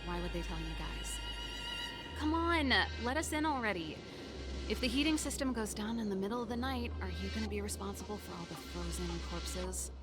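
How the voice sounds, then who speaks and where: A woman's voice: medium pitch, expressive, fairly quick, close to an everyday voice. An actress voicing a confident, brash woman mechanic in a video game, with assertive, teasing, dryly persuasive delivery. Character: confident, brash, sardonic adult female mechanic. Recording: loud music under the voice.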